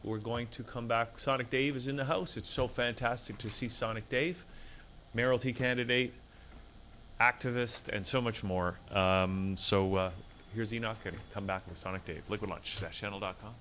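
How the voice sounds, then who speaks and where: A male talk show host with a fairly low voice and an upbeat, easygoing delivery. He steers the chat toward links and breaks, with a faint hiss behind him. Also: fairly even intonation, moderate pace, a bit bright. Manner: upbeat, wraps things up and plugs links. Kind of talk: casual interview chat.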